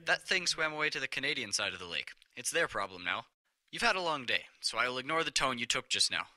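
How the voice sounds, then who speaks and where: An officious, stern male bureaucrat boss played by a man in an audio drama. His voice is very sharp and forceful, and he talks fast and curtly in a dry, close sound with cold condescension. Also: mid-range voice, sing-song, no room sound. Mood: stern, dismissive.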